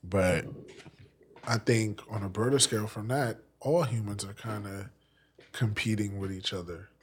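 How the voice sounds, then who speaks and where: A man's voice: on the low side, unhurried, a little hiss or hum. A man hosting a podcast, talking in a casual, playful and teasing way. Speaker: podcast host. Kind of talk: casual conversation.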